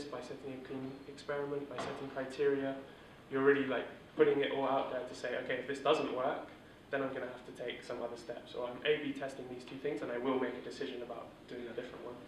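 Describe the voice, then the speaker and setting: A man leading a startup workshop, explaining principles in an informal, steady way with fillers. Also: mid-range voice, fast, hardly any pauses, noisy background. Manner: steady, monotone delivery with filler words. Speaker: workshop instructor. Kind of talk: informal teaching talk. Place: large echoey room. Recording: echoey room from far off.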